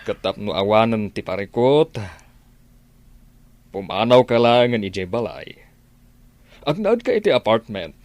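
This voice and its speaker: A man's voice: fairly low pitch, very animated, a little slow, long pauses, darkish tone, no room sound. A man with an Ilocano Filipino accent acting a concerned, persuasive middle-aged father and boss in a radio drama.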